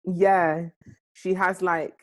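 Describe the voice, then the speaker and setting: A woman's voice: lowish voice, very slow. A young woman in casual chat, talking at length in long runs with lots of fillers. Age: young adult. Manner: animated, talks in long runs with many fillers.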